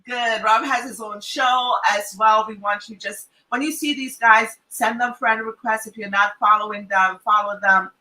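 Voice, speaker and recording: A woman's voice: on the high side, steady tone, normal speed, hardly any pauses, bright, forceful tone, roomy sound, far from the mic, low-quality recording. A Trinidadian woman hosting a casual panel talk, chatty and teasing, laughing often. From Trinidad and Tobago. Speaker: host.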